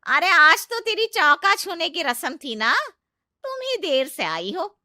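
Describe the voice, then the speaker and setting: A woman voicing a bossy middle-aged aunt-in-law in a family story, sharp, taunting and indignant. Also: extremely high pitch, very animated, slow pace, no echo, clean studio sound, pitched above the performer's own voice, full character voice. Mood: taunting, scolding. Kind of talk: exaggerated family-drama storytelling.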